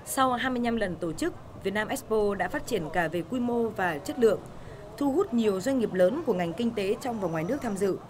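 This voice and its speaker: A woman narrating a television news report in a steady, formal reading voice. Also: medium pitch, fairly quick, background noise. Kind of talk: scripted news voice-over.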